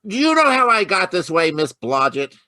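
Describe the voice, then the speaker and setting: Man acting an exasperated, blustering police lieutenant, forceful. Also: sits high, big pitch swings, moderate pace, no room sound, studio quality, heavily acted. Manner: blustering, grumbling. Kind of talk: old-time radio detective drama acting. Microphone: video call.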